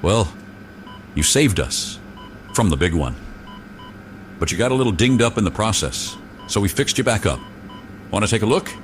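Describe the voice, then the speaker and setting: A man's voice: on the low side, expressive, normal speed, long pauses, a little hiss or hum, lightly acted. A man playing a fatherly military boss in a radio serial, folksy, hesitant and reassuring. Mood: breezy, welcoming. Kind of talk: mock radio serial acting.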